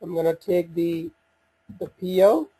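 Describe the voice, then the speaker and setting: A man giving an unscripted software walkthrough, talking through each step in a halting way as he thinks aloud. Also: sits high, very animated, husky, poor audio. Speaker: software demo presenter.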